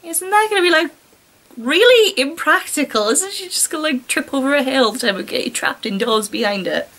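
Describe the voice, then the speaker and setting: Female host for amateur fiction readings: high-pitched, conversational, wryly mocking between passages. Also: lively intonation, fairly quick, fairly bright tone. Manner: wry, mocking asides. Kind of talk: casual commentary mixed with story reading.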